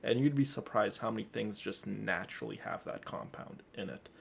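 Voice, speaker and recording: A man's voice: on the low side, moderate pace, gravelly, slightly rough. A man doing casual, rambling and emphatic live commentary as he plays a game, easily amused. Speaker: game streamer.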